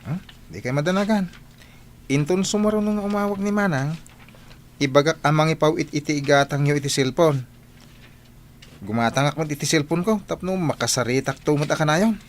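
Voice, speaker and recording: An actor playing an earnest, protective young student, a younger brother, in a radio drama. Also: male voice, a little high, a little fast, long pauses, a little hiss or hum, close to an everyday voice. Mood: reassuring.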